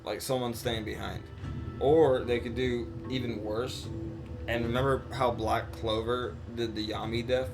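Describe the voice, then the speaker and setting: A man's voice: mid-range voice, steady tone, measured pace. A man chatting casually on a live game stream, rambling and slangy as he talks through his thoughts. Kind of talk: casual live stream chat recapping and theorizing. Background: game music.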